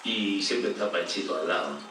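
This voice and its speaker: Low male voice, very echoey, reminiscing. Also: moderate pace, background noise. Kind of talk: reminiscing documentary testimony. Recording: very echoey, distant, music behind.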